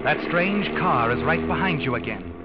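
An actor playing a sardonic, ominous narrator who taunts a schemer in a 1940s radio suspense drama. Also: male voice, a little high, animated, moderate pace, heavy background noise, natural voice. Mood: tense, ominous. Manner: dramatic, knowing, mocking. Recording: muffled old radio recording with music.

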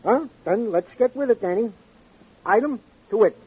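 An actor playing a friendly, comically formal police sidekick with florid phrasing and a husky voice in an old radio drama. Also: male voice, high-pitched, expressive, normal speed, full character voice. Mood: brisk, official. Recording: dull old radio recording.

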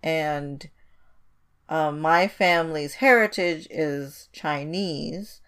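A woman's voice: low-pitched, drawn-out words, fairly bright tone, smooth. A woman from Indonesia co-hosting a podcast, chatting informally and repeating words for emphasis. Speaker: podcast co-host. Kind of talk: casual conversational chat.

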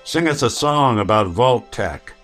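An actor playing a weary, wry, hard-boiled synthetic detective with a noir delivery in an old-time radio drama. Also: male voice, mid-range voice, animated, unhurried, a bit bright, faint music behind. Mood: wry, menacing.

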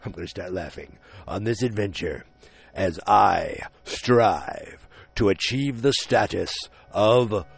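A man putting on a deep, smooth radio announcer voice, his own voice strained from singing at a concert, speaking outdoors. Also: lively intonation, slow, full character voice. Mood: grandiose.

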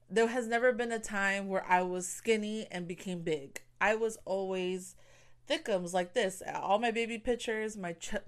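A woman's voice: on the low side, clean tone. A young woman hosting her own show, talking candidly in a casual personal monologue, full of "like" and "you know". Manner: candid, chatty, heavy use of "like" and "you know". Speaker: podcast host.

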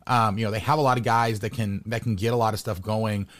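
A man's voice: fairly low pitch, fairly even intonation, fast. An American man hosting a casual sports interview, rambling and repeating words as he thinks aloud. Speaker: podcast host interviewing a sports guest.

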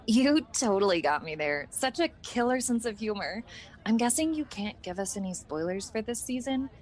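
A woman's voice: mid-range voice, big pitch swings, moderate pace, natural voice. A woman playing a chirpy, eager young red carpet reporter in a comedic radio sketch, polite and gushing.

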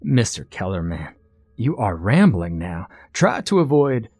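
A man's voice: lowish voice, very animated, measured pace, darkish tone, husky, no room sound, clean studio sound, heavily acted. A man voicing a spiteful, lawyerly devilish master of a contest in a storybook, formal and condescending in manner.

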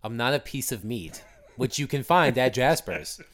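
A man co-hosting a sports podcast, dry and deadpan, his voice a bit raspy from a recent sore throat. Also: medium pitch, normal speed. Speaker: podcast co-host, hockey reporter. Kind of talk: casual sports podcast chat.

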